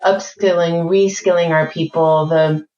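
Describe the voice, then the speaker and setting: A woman born in France and raised mainly in Spain, with a mixed accent shaped by American and British English, speaking as a guest in a podcast interview in a measured, articulate way. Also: fairly low pitch, steady tone, darkish tone, roomy sound, highs cut off. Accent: mixed French, Spanish, American and British. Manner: measured, articulate, thinks aloud with fillers. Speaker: corporate learning executive, guest.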